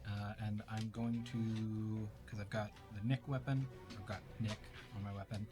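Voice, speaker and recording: A man's voice: lowish voice, flat delivery, moderate pace. A man from Canada playing a tabletop game, chatting casually and thinking aloud as he works things out. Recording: music behind the voice. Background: background music.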